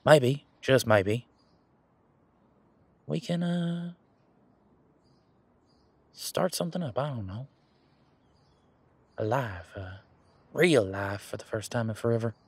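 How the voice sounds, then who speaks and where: A man playing an earnest, teasing werewolf boyfriend in an intimate roleplay audio drama, speaking casually and haltingly with a husky voice. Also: medium pitch. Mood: earnest, hopeful. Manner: casual, halting, conversational with trailing pauses. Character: male werewolf boyfriend, earnest, hopeful, teasing former bodyguard.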